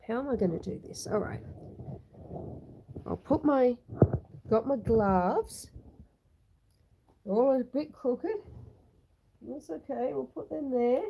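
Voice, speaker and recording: A woman's voice: high-pitched, slow, creaky, pauses often, highs cut off, a little hiss or hum. A woman hosting a live stream outdoors on her homestead, chatting to viewers by name. She is still a bit snuffly with a cough from a long illness. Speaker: homestead livestream host. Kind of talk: casual live-stream chat. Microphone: phone on a tripod.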